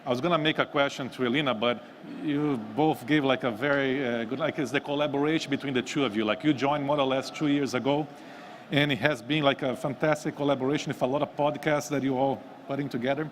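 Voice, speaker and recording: A man's voice: mid-range voice, fairly even intonation, quick pace. A man moderating a live panel in a casual conversational style, introducing and questioning guests. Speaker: panel moderator, team member. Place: live stream stage at a busy event. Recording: noisy event floor ambience.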